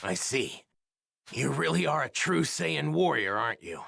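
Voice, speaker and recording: An actor playing an earnest, grave young warrior from a doomed future in an anime video game dub, speaking politely and deliberately. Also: male voice, medium pitch, very animated, vocal fry, sharp tone, slightly rough, dry recording, studio quality, exaggerated voice. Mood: surprised, respectful.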